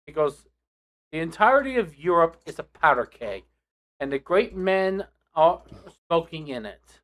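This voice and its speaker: A young man hosting a casual podcast chat with friends, talking in a relaxed, rambling way with restarts. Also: on the high side, unhurried. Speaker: podcast host.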